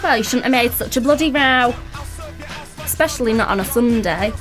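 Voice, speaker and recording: Woman acting a high-voiced, grumbling English old woman. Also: moderate pace, a bit bright, lightly acted, rough recording. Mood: smug scolding. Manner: colloquial, plain-spoken. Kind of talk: radio play acting. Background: music.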